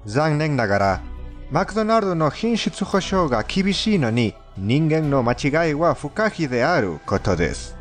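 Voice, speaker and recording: Man, foreign accent, very lively intonation, fairly high voice, polite theatrical countdown narration, music underneath. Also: normal speed. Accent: foreign, non-native Japanese. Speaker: fandub narrator of a countdown video. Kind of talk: scripted narration of creepy countdown stories. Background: background music.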